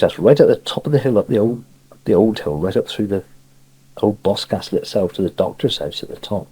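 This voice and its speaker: A man's voice: on the low side, dark tone, slightly rough, poor audio. An older man with a Cornish accent, telling a rambling local story and chuckling as he goes, heard on an old cassette tape. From Cornwall. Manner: chuckling, rambling yarn-spinner. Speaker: dialect storyteller. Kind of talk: spoken local anecdote.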